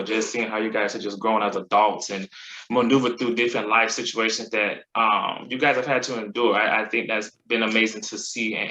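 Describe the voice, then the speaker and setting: A man's voice: lowish voice, echoey room, poor audio. A man hosting a casual conversation, encouraging his guests and asking them questions. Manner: affirming, encouraging, asks questions warmly. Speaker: host.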